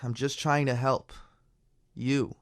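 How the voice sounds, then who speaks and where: A man's voice: mid-range voice, little pitch movement, slow pace. A young man acting in a naturalistic radio drama as a worried, exasperated friend, urgent and earnest. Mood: exasperated, pleading.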